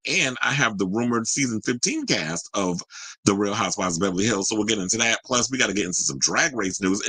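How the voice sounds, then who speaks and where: Man, lowish pitch, husky, dry sound, casual live-stream host, chatty gossip commentary, slangy. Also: sharp tone, no echo, poor audio. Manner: informal, gossipy, rambling with asides and slang.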